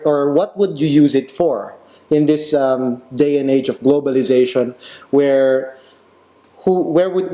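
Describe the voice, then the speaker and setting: Homme animateur à la voix douce. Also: male voice, a little high, warm tone, poor audio. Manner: leads the talk, asks follow-up questions. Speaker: podcast host. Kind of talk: casual panel discussion.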